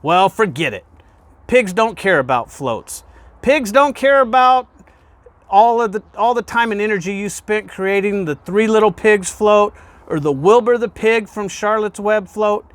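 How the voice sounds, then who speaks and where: A man reading a children's picture book aloud in an expressive storytelling way, with emphatic pauses and questions put to the listener. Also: sits high, dry recording. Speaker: guest reader, fire department deputy chief.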